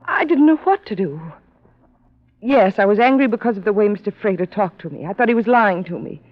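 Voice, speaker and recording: An actress playing a young, worried wife, earnest and defensive, in old-time radio drama style. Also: female voice, medium pitch, animated, fairly quick, lightly acted. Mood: flustered. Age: young adult. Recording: muffled vintage radio recording.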